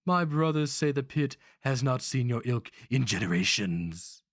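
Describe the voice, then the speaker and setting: Guy doing a monstrous evil wizard grandmaster, snooty and scornful, high voice, very dry sound, fantasy audiobook. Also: expressive, a little slow, dry recording. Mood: haughty, menacing. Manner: haughty, contemptuous, archaic formal diction. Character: sneering, monstrous, putrid-faced sorcerer grand master.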